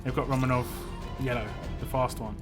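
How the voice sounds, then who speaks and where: A man's voice: medium pitch, little pitch movement, brisk. Chatty male streamer over game music. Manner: rambling, chatty, answers chat with laughs. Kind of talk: casual live-stream chat.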